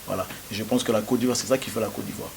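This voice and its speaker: A man's voice: on the low side, fairly even intonation, creaky, a little mellow, slight background noise. A young man born in France, answering interview questions with frequent hesitations and restarts. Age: young adult. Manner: halting, many hesitations and restarts.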